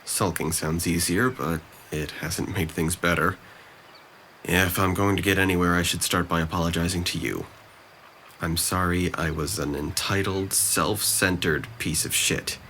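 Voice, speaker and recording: Man acting a deep-voiced, downcast young man. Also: fairly even intonation, measured pace, pushed and bright, slight background noise, full character voice. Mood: remorseful, downcast. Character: depressed, self-critical young college-age nephew, apologetic. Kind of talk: audio drama dialogue.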